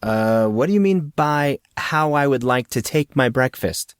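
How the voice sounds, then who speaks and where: An awkward fourteen-year-old schoolboy, voiced by a man in an audiobook. He is polite but easily flustered, talking casually and hesitantly in a raised pitch. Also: expressive, a little slow, clear, resonant tone, no echo, clean studio sound, natural voice. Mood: sheepish, curious.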